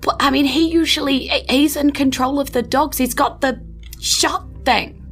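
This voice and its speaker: Woman acting a timid, higher-pitched farm woman. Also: steady tone, moderate pace, a bit bright, faint music behind. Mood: nervous. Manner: hesitant, stammering, apologetic. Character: timid anxious farm woman, meek and evasive.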